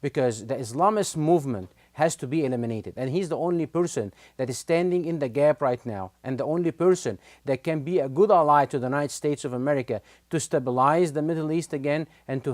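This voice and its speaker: An Egyptian-accented man hosts a political commentary show at a middle pitch. He speaks earnestly and urgently, dotting his talk with "you know" and "uh." The recording is dry and close, with no room sound. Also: a little mellow, no echo. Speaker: host.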